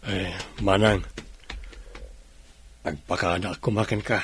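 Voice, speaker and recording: A man's voice: sits low, steady tone, unhurried, husky, full character voice. A man playing a helpful, respectful tricycle driver in an audio drama. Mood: subdued, resolved.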